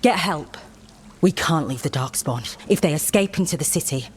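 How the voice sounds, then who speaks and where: Earnest injured female warrior for a fantasy game, on the low side, slight background noise. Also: expressive, normal speed. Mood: urgent, pained. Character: earnest wounded female warrior of a monster-fighting order. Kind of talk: scripted fantasy game dialogue.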